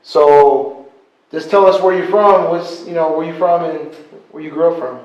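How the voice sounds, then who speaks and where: A man's voice: fairly high pitch, normal speed, darkish tone. Easygoing male interview host, echoey room. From northeastern Ohio. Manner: easygoing, short prompting questions. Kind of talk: casual interview. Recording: echoey, dull sound, mic at a distance.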